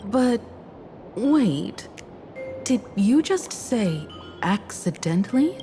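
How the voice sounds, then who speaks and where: A poised mentor woman from a video game. She takes her time and sounds reassuring, with lively intonation. Faint music sits behind her. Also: medium pitch, full character voice. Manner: composed, measured, reassuring. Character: poised, caring adult woman, mentor of a travelling crew. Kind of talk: video game character acting.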